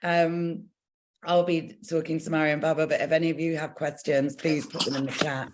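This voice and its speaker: A woman hosting a video-call session, hesitant and halting. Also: deep voice, flat delivery, a little mellow. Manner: hesitant, many fillers and restarts. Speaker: host.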